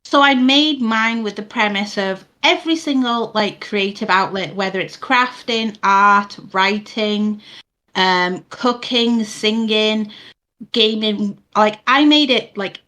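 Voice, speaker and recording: Bright-voiced Welsh woman chatting. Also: mid-range voice, takes it slowly. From Wales. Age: 30s. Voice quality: soothing. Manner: chatty, rambling, many fillers and restarts. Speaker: guest, VTuber. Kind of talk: casual interview chat. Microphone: boom mic.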